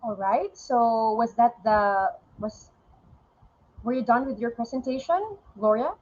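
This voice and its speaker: A woman's voice: a little high, a little slow, darkish tone, dull audio. A woman moderating an online webinar, checking in with the speaker and summarizing, with some fillers.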